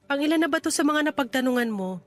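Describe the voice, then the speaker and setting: A woman's voice: high voice, animated, quick pace, soft background music. A woman playing a friendly but guarded landlady in her thirties in a radio drama, chatty and teasing. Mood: helpful, curious. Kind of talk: radio drama acting in natural conversation.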